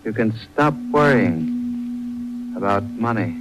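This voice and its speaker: An actor playing a mysterious, unruffled stranger who seems all-knowing, speaking in terse, cryptic lines in 1940s radio drama style. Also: male voice, fairly low pitch, expressive, slow pace. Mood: knowing, ominous. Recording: noisy old 1940s radio recording.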